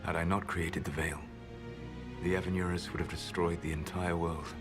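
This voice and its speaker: An actor playing an ancient, secretive male elf mage who speaks in a measured, grave and regretful way. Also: low voice, little pitch movement, close to an everyday voice. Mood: solemn. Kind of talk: video game voice acting. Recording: game audio with music score.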